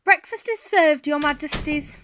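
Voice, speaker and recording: A woman's voice: sits high, normal speed, fairly bright tone. A woman playing a sarcastic, drawling household maid in a comedy audio drama. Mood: sardonic. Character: sardonic, cheeky household maid. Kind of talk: hobby comedy murder-mystery audio drama.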